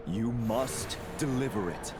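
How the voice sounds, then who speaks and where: A man voicing a mysterious, solemn guide in a video game, speaking cryptically and deliberately. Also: medium pitch, very noisy, lightly acted. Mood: solemn urging. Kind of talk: dramatic video game voice acting. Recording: very loud music over the voice.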